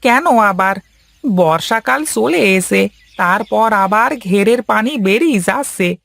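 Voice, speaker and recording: A man's voice: sits high, expressive, slow pace, vocal fry, fairly bright tone, exaggerated voice. A man playing a chatty, nosy villager in a comic cartoon tale, familiar and teasing in manner. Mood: knowing, cautioning. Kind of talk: Bengali cartoon story acting.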